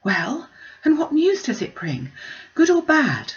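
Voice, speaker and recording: Lady doing an impatient young gentlewoman from a storybook, urgent and exclaiming, voice lifted high, kinda muffled recording. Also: huge pitch swings, takes it slowly, distant mic, exaggerated voice. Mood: eager. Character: eager, impatient young gentlewoman daughter, anxious for news.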